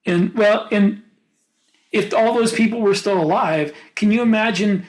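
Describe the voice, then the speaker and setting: A man's voice: high-pitched, a little slow, poor audio. An American man co-hosting a podcast, talking casually and reflectively in a panel discussion. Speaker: podcast co-host.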